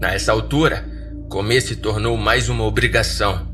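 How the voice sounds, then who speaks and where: A man's voice: lowish voice, steady tone, moderate pace. A man with a Brazilian accent reading scripted first-person horror fiction as a podcast narrator. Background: music bed.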